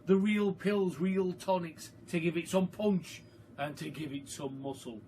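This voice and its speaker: A man voicing a mischievous, excitable young boy in an emphatic, relishing storybook style. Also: sits high, a little slow, a little hiss or hum. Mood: gleeful plotting. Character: excitable, mischievous young boy George, plotting against grandma.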